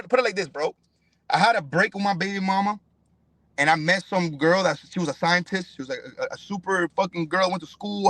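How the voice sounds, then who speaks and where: A young man speaks in a high voice with a dry, close sound. He is a fighter from Cuba giving an interview in casual, profane slang. His delivery is emphatic and sprinkled with familiar check-ins. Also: fairly bright tone, dry recording, rough recording. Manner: emphatic, slangy, punctuated with "bro" and "you know what I'm saying". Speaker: professional fighter, podcast guest.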